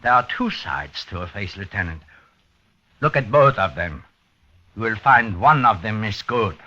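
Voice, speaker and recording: An actor playing a sinister, cryptic two-faced man with halting, broken phrases in a 1950s radio drama. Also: lowish voice, animated, bright, forceful tone, full character voice. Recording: muffled vintage 1950s radio recording.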